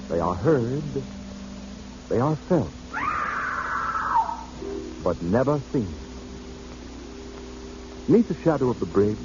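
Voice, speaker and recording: A man narrating a vintage radio drama in a theatrical, emphatic 1930s style. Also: on the low side. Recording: muffled old radio recording, clear noise.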